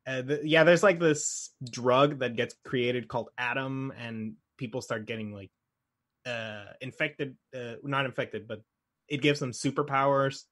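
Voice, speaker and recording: A man's voice: mid-range voice, steady tone, measured pace, fairly bright tone. A man co-hosting a podcast, talking informally and hesitantly as he thinks aloud. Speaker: podcast co-host. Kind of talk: casual conversational chat.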